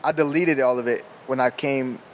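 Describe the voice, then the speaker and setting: A man's voice: medium pitch, steady tone, normal speed, slight background noise. A man in his twenties chatting casually on a podcast, loose and slangy, laughing as he tells stories. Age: 20s. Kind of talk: casual chat.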